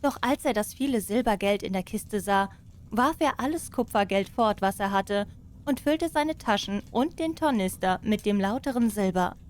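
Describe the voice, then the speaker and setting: A woman narrating a fairy tale as an expressive audiobook storyteller. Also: mid-range voice, normal speed.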